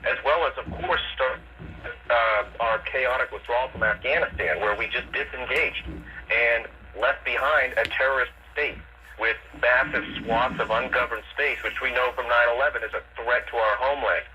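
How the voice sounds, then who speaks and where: An American man giving analysis as a radio interview guest, halting and repeating words as he explains, heard over AM radio. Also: mid-range voice, steady tone, bright, forceful tone. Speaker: guest national security analyst. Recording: dull, noisy AM radio reception.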